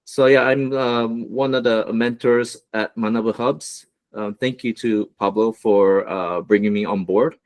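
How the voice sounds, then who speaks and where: Man, fairly low voice, relaxed, lots of fillers, presenting slides on a video call. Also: measured pace, hardly any pauses. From United States, Los Angeles. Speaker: guest mentor presenting.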